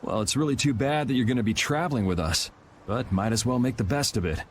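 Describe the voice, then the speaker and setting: An actor playing a cool, wry young guild vigilante in a video game, speaking in a dry, casual, sardonic way. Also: male voice, mid-range voice, quick pace, slight background noise, natural voice. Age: young adult.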